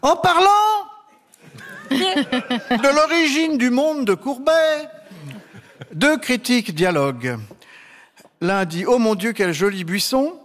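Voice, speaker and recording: A man's voice: very high-pitched, animated, slow pace, pauses often, a bit bright. A man giving humorous mock explanations as a game show panelist, with hesitant asides. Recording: reverberant hall.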